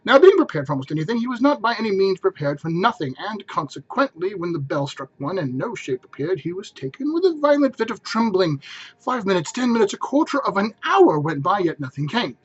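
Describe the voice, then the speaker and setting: A man narrates a story in a dramatic, emphatic manner. His voice sits high for a man and has a husky grain. The recording is a little dull. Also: very animated, fairly quick. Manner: theatrical, emphatic phrasing. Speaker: narrator reading aloud.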